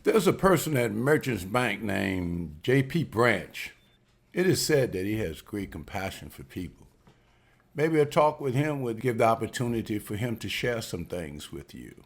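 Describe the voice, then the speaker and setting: A man's voice: lowish voice, unhurried, creaky, heavily acted. A man reading the part of a genial, chatty businessman in an amateur table read. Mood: friendly. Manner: folksy, chatty, lively. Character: genial older businessman, banker.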